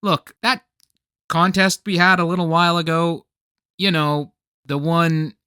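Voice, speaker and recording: A man's voice: fairly high pitch, little pitch movement, unhurried, pushed and bright, smooth, dry recording, studio quality. An actor in a comic radio play playing a stubborn, boastful young man with a grumbling, defensive, wry manner.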